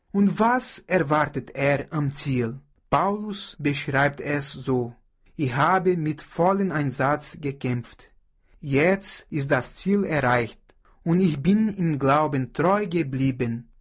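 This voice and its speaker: A man from Brazil with a Brazilian Portuguese accent, Portuguese his first language, giving a measured radio sermon as a pastor. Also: a little high, slightly rough. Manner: slow, measured preaching with prayer.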